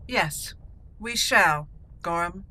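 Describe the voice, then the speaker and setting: A woman voicing a proud young noblewoman warrior-mage, role-playing game dialogue in a measured, haughty manner. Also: fairly low pitch, pushed and bright, exaggerated voice. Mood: poised, confident. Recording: faint background noise.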